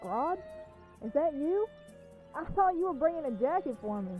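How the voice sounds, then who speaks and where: Woman doing testy giant villainess, high voice, sarcastic, faint music, radio comedy. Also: sing-song, moderate pace, phone-quality sound. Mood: irritated. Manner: sarcastic, complaining. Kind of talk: comic radio play acting.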